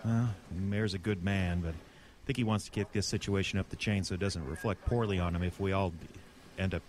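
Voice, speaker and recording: An actor playing a beleaguered, wry small-town police chief in an audio drama, with a folksy, exasperated manner. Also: male voice, sits low, fairly even intonation, a little fast, a little mellow, slight background noise, natural voice. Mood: guarded. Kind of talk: audio horror drama acting.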